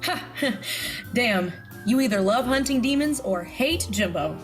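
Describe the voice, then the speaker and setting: A jaded hotel part-owner in an audio drama. Her voice is fairly high, with a casual, wry, plainspoken delivery. Light music runs behind it. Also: expressive, slow. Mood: amused, casual. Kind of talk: scripted audio drama acting.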